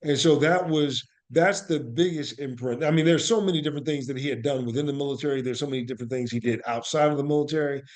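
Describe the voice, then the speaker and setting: A man's voice: medium pitch, quick pace, few pauses. Man giving earnest, emphatic interview answers. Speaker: interview guest, actor and playwright. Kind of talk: reflective conversational interview answers.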